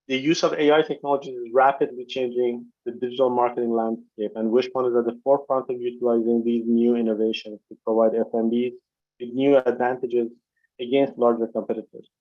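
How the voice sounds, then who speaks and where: A man reading prepared financial remarks as a company executive, formal and measured, on a video conference call. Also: mid-range voice. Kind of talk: scripted corporate earnings presentation. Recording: dull, lo-fi conference call audio.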